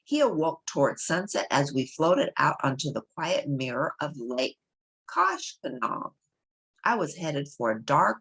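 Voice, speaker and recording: Low, husky woman reading a story aloud. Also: a bit bright, rough recording. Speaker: storybook reader. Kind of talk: read-aloud narration of a children's novel.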